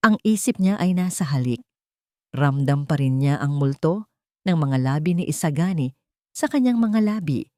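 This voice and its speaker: A woman narrating a scripted romance story in a deliberate, emotive, dramatic way. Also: deep voice, lively intonation, mellow, no room sound, clean studio sound.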